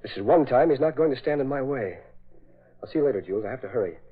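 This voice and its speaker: A man's voice: medium pitch, animated, fast, slightly rough. A man acting a determined, defiant young son in an old-time radio drama. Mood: hurried, defiant. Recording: tinny band-limited vintage radio recording.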